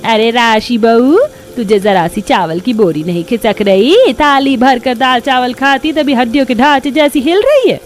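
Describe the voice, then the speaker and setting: Woman acting a bossy, high-voiced mother-in-law. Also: lively intonation, moderate pace, a little hiss or hum, natural voice. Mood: scolding. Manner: scolding, commanding. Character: bossy, stingy middle-aged mother-in-law. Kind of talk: Hindi cartoon story acting.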